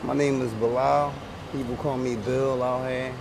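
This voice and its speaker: A man's voice: mid-range voice, flat delivery, moderate pace, vocal fry, noisy background. A man in his forties with a Philadelphia accent, talking casually in street slang, often repeating himself and restarting his sentences. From Philadelphia, USA. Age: 40s. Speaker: interviewee. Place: outdoors on a busy city street. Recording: outdoor street recording with passersby.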